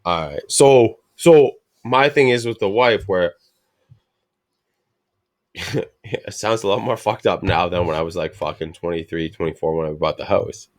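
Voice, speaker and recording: A man's voice: sits low, a little slow, no room sound. A man co-hosting a casual talk show, telling family stories with lots of slang and swearing, and acting out what others said. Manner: slangy, animated, acts out quotes of family. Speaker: podcast co-host. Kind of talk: casual chat with cursing and storytelling.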